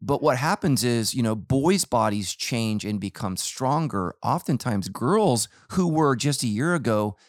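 A man's voice: mid-range voice, animated, measured pace, few pauses, no room sound, studio quality. An American man talking casually as a podcast host, telling things in a conversational, self-interrupting way. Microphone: close podcast microphone.

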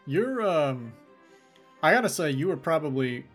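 A man's voice: a little high, unhurried, faint music behind. A man hosting a casual podcast interview, asking loose, rambling questions. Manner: relaxed, rambling questions with many likes and restarts. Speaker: podcast host.

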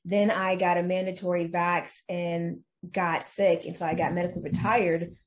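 A woman's voice: lowish voice, little pitch movement. A young woman talking bluntly and profanely as a guest on a casual podcast. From United States. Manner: blunt, profane, fast-changing opinions.

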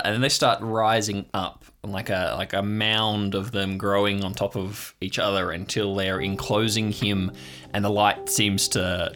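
A man hosting and narrating an improvised audio drama, finding his words as he goes in a halting delivery. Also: fairly low pitch, a bit bright. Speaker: host, show creator and narrator.

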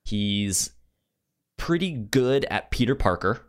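A low-pitched male podcast co-host chats casually and argues his opinions. His speech is halting, with frequent stutters on repeated syllables, circling back as he builds a point. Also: animated, clear, resonant tone. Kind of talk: casual debate chat about movies.

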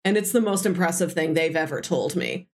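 A woman's voice: fairly low pitch, animated, a little fast. A woman hosting a podcast, chatting casually and enthusiastically about film, often with a giggle in her talk. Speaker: podcast host. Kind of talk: casual film discussion chat.

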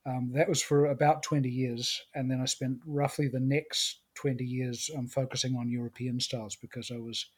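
Man, middle-aged, New Zealand accent, husky, relaxed podcast guest. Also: medium pitch, normal speed, few pauses. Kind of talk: casual interview.

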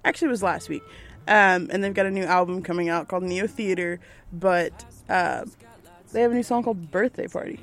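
A woman's voice: on the low side, brisk, a bit bright, faint music behind. Chatty young woman radio DJ. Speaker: radio DJ and podcast host. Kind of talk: casual chat.

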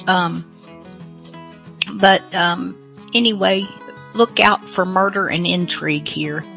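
Slow, bright-voiced casual woman host. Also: on the low side, steady tone, vocal fry, rough recording. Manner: hesitant, folksy. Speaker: podcast host. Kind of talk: casual rambling introduction. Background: faint guitar music.

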